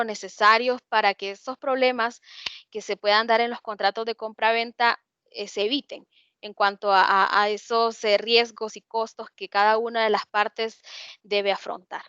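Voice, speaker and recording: A woman with a Honduran accent delivers a lecture in a fairly high voice, pausing often to hesitate and restart her sentences. The sound is dull and lo-fi, with a dry, close feel and no room echo. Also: steady tone, fairly bright tone, clean tone. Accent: Honduran Spanish. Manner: explanatory, many hesitations and restarts. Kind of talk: online lecture.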